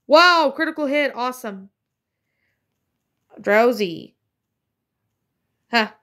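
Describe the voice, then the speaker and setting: A woman's voice: on the high side, fairly bright tone. A woman casually commenting on her own gameplay, chatty and rambling with many fillers, slightly congested from a cold. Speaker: let's play gamer.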